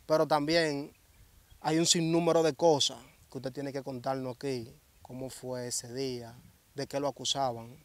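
A young man asking interview questions in a measured, earnest way, outdoors on a handheld mic. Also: medium pitch, level tone, dry recording. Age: young adult. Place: outdoors under a tree in a grassy field.

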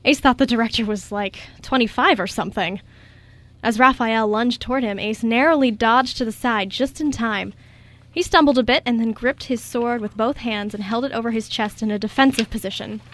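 A woman narrates a radio drama from a script. Her voice is on the high side, and she reads as a storyteller between the characters' lines. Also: moderate pace, a bit bright, no echo.

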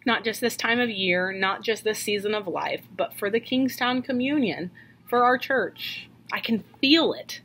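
A woman in her thirties preaching a sermon from a home office into a webcam, earnest and questioning, slowing down to stress key lines. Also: on the high side, fairly bright tone, rough recording. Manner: earnest, questioning, slows for emphasis. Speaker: pastor. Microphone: webcam at desk.

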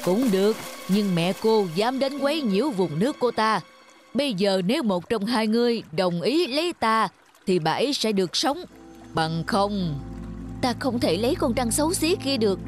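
A woman's voice: on the high side, animated, moderate pace, soft background music, close to an everyday voice. A woman voicing an arrogant, threatening male water serpent in a cartoon fairy tale. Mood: haughty, demanding.

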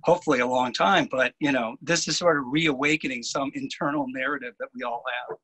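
Guy being interviewed, bright clear-cut tone, thinks out loud, restarts a lot. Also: medium pitch, fairly even intonation, rough recording. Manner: halting, thinking aloud with repeated starts. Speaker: guest fiction writer. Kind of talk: reflective interview conversation.

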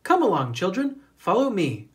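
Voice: medium pitch, huge pitch swings, normal speed, voice pushed up. A storybook reader voicing a proud, reassuring mother duck of unclear performer sex, calling out with motherly confidence. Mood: cheerfully bossy.

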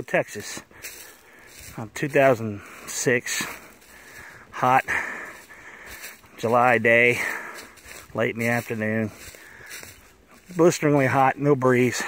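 A man telling stories in a casual, folksy, unhurried vlog style with a wry manner, recorded outdoors on a handheld phone. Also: medium pitch, a bit bright. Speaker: vlogger, cable installer. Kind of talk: casual first-person storytelling vlog. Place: outdoors on a wooded trail.

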